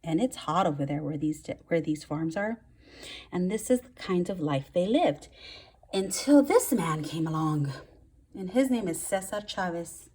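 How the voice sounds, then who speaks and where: A woman's voice: on the low side, animated, unhurried, darkish tone, slightly rough. A woman teaching first graders, reading aloud and explaining things in simple words with emphasis on key words. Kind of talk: read-aloud lesson for young children.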